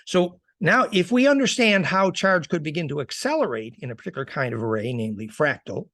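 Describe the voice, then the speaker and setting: A man giving an informal slide lecture in an assertive, emphatic manner, with halting restarts as he presents his theories. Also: fairly high pitch, animated, fairly bright tone, no room sound. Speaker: presenter of fringe physics theories.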